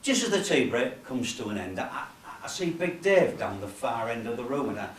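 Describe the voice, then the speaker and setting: A man's voice: medium pitch, big pitch swings, a little fast, a little hiss or hum, natural voice. An actor playing a nervous, lonely, unassuming man who stammers and halts through a self-deprecating comic stage monologue. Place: cafe bar performance space. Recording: echoey room, distant camera microphone.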